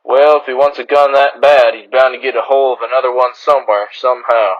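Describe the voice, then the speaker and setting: A man's voice: mid-range voice, fairly even intonation, a little fast, highs cut off, lightly acted. A man playing a commanding frontier marshal in a radio western, with an American accent, giving brusque orders. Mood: authoritative. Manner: authoritative, clipped orders. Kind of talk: old-time radio western acting.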